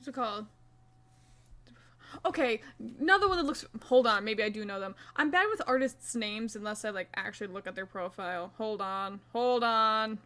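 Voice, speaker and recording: A woman's voice: mid-range voice, normal speed, pushed and bright. A young woman streaming live, chatting casually and self-mockingly while she crochets, swearing now and then.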